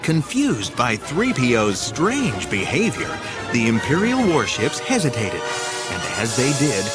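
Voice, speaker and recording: A man narrating an audio drama in an urgent, theatrical storytelling style. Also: a little high.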